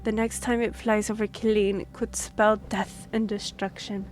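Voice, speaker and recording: A woman's voice: medium pitch, flat delivery, a little mellow, faint music behind, natural voice. A woman playing a formal, courteous and firm half-elf envoy in a fantasy audio drama. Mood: grave. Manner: formal, measured, diplomatic. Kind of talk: scripted fantasy audio roleplay.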